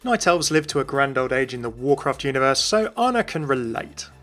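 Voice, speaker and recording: A man's voice: medium pitch, sing-song, a little fast, fairly bright tone, faint music behind. A man hosting a video, reading scripted narration. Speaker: video host.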